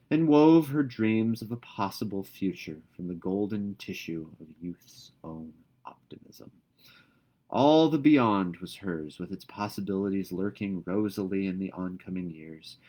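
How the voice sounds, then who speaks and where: Male narrator, lowish pitch, expressive storybook reading, careful measured delivery. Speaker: narrator reading a novel aloud.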